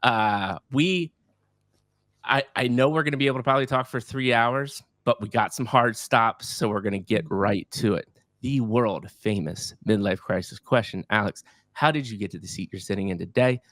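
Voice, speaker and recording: Upbeat, low-voiced male podcast host. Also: moderate pace, no echo. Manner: energetic, effusive, repeats words when excited. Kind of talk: enthusiastic podcast interviewing.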